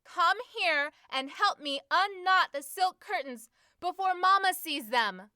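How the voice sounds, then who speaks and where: Bossy, gloating teenage girl, acted by a female narrator: high-pitched, sing-song, crisp dry recording. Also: animated, slow pace, pushed and bright, dry recording, full character voice. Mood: bossy, hollering. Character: smug, bossy teenage sister Hyacinth.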